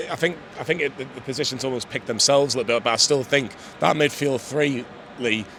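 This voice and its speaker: A hoarse man hosting a casual football fan chat outdoors, upbeat and asking questions. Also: medium pitch, a bit bright. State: slightly hoarse. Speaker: fan channel host. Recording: outdoor street noise.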